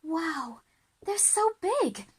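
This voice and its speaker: A woman voicing an excitable, sceptical schoolchild in a children's audio drama. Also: very high-pitched, extremely slow, voice pushed up, full character voice. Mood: amazed. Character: excitable, sceptical schoolchild on a time-travel lesson.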